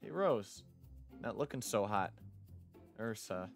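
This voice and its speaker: A man's voice: fairly low pitch, expressive, slow pace, creaky, heavily acted. A man voicing a blunt, concerned school friend in a visual novel, with a questioning manner. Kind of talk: visual novel character read-aloud. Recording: music behind the voice.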